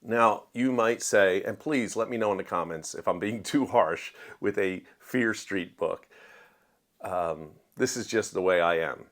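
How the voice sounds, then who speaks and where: Lower-pitched man, casual opinionated reviewer. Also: measured pace, fairly bright tone. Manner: conversational, acts out quoted dialogue in everyday voice. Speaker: book reviewer.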